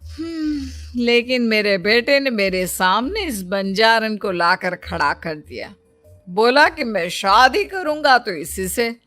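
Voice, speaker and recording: A woman's voice: on the high side, lively intonation, slow, fairly bright tone, no room sound. Haughty scheming rich mother-in-law, voiced by a woman. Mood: evasive, falsely resigned. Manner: imperious, calculating. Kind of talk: storybook character acting. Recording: music bed behind the voice.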